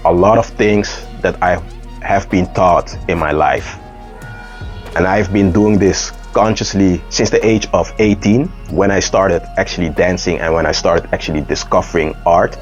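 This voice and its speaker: A man speaks in a slightly low voice, earnest and a little halting as he searches for the right words. The recording sounds dull and lo-fi, with faint music playing underneath. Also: a little mellow. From Netherlands. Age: 30s. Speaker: dancer and artist. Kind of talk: personal reflective monologue. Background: faint background music.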